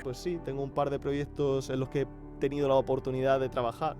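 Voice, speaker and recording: Male voice actor, conversational, even intonation, soft music bed. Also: mid-range voice, brisk. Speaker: amateur voice actor. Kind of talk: casual interview testimonial.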